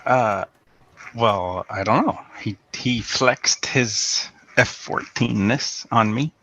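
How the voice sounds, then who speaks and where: A man calling in over a video call, talking haltingly with lots of fillers. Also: medium pitch, bright, forceful tone, no echo. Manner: halting, hesitant, many fillers. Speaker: caller. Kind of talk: casual call-in chat.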